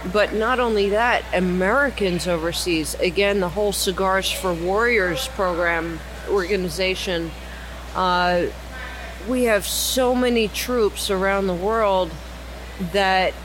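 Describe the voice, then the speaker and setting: A woman talking casually and explanatorily as part of a podcast panel, recorded in a lounge. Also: medium pitch. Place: cigar lounge. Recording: clearly noisy lounge recording.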